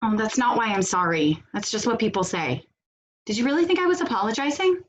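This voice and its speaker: A woman acting a blunt, sardonic protest organizer in a stage comedy over a video call, with a brisk, dry, bossy delivery. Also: a little high, lively intonation, muffled recording, close to an everyday voice. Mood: exasperated. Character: blunt, sardonic female leader of a protest cell.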